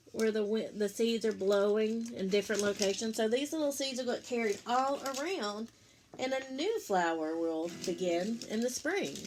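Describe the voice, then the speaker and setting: A woman's voice: on the high side, slight background noise. A woman, a teacher reading a picture book aloud to young children, with simple explanatory asides and questions for her listeners.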